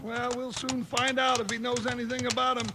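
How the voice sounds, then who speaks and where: A male radio actor playing an excitable comic cowboy sidekick who complains in a folksy, blustery way. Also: very high voice, a little fast. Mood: grumbling, curious. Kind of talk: 1950s western radio acting. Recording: noisy vintage 1950s radio recording.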